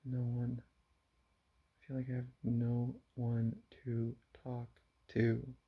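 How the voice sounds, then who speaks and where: A young man talking quietly into his camera at home in a confessional vlog, halting and subdued, with frequent sighs. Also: medium pitch, monotone, husky. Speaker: vlogger. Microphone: camera mic. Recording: dull lo-fi camera audio.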